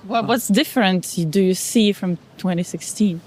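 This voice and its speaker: A woman's voice: medium pitch, normal speed, a little mellow, a little hiss or hum. Woman asking casual interview questions. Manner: prompting, conversational.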